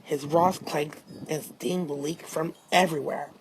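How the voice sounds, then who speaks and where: A woman's voice: low voice, steady tone, a little slow, slight background noise, low-quality recording. A woman narrating a children's storybook as an amateur reader, now and then stumbling over her words.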